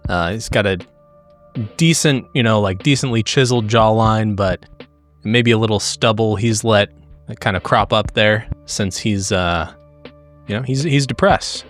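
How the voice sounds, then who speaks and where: A man playing a tabletop game, casually describing his character and haltingly thinking aloud. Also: lowish voice, fairly bright tone, soft background music. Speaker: player in a role-playing game.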